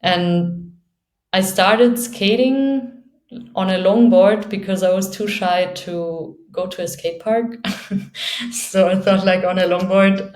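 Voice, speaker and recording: Slow-talking Austrian woman, low voice. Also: echoey room. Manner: relaxed, laughs often between phrases. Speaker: guest, downhill skateboarder. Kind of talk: casual interview.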